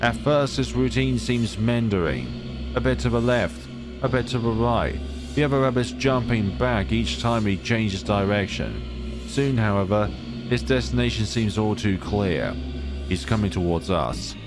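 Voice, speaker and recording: A man with a fairly low voice reads a horror visual novel aloud. He moves between story narration and casual commentary, with a dry, understated wit in his asides. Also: expressive, unhurried, music in the background. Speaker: video game let's player.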